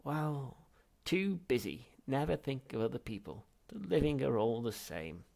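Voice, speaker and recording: A man voicing an ancient, querulous ghost of a great-grandfather in a storybook, screechy, rasping, scornful and scolding. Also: medium pitch, big pitch swings, darkish tone, voice pushed up. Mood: peevish. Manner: snappish, scolding, drawn-out words.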